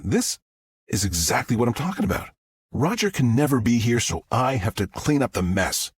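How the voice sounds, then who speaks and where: A man's voice: mid-range voice, big pitch swings, slightly rough, dry recording, voice pushed up. A man playing a rattled, grieving adult son who stammers and halts through his lines in an audiobook. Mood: frustrated. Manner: stammering, halting, flustered. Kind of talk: audiobook character dialogue.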